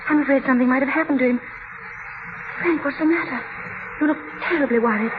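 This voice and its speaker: An actress playing a timid, devoted and anguished young wife in 1940s radio drama, with an earnest, emotional manner. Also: female voice, high-pitched, fast talker, noisy background, lightly acted. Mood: worried. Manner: earnest, breathless pleading, emotional. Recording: muffled vintage radio broadcast with music.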